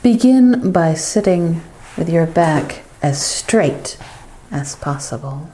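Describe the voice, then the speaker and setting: Female meditation guide, low and mellow, unhurried devotional delivery with phrases that fade softly. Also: animated, a little hiss or hum, low-quality recording.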